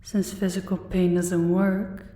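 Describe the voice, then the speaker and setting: Woman playing slow, dark-voiced sadistic crime boss. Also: on the low side, level tone, distant mic. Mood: coolly amused. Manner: unhurried, menacingly composed. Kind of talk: audio roleplay acting. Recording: echoey room, away from mic.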